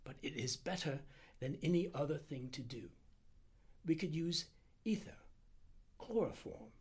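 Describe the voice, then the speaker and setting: A man voicing an anxious, precise little Indian doctor in an audiobook, speaking in an urgent and deliberate way. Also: on the high side, sing-song, pitched above the performer's own voice, heavily acted. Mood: thinking aloud. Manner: urgent, halting, deliberate, breathy at times. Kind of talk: audiobook character dialogue.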